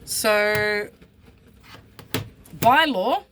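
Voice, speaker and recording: Husky aussie woman venting straight to camera, blunt, swears a lot, faint hiss behind. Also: mid-range voice, extremely slow, a bit bright. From Australia. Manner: blunt, frustrated, swears freely. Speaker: vlogger. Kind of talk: candid personal vlog.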